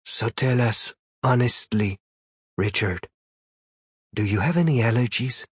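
A man voicing a cool, probing female demon in an audiobook story, with a deep husky voice, a foreign accent and matter-of-fact questioning. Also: expressive, unhurried, dry recording, clean studio sound. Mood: calm probing.

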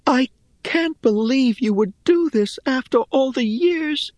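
A man's voice: extremely high pitch, animated, slow pace, darkish tone, dry recording, clean studio sound, voice pushed up, exaggerated voice. A man voicing an earnest, emotional young woman in a fantasy audiobook, with an intense, heartfelt manner. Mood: hurt, accusing. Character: earnest, emotional young female friend of the hero.

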